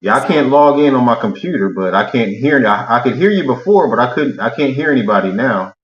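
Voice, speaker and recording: A man joining a live show as a guest over a video call, talking haltingly and reading out error messages from his screen. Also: medium pitch, lively intonation. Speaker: guest actor. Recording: echoey, muffled video call.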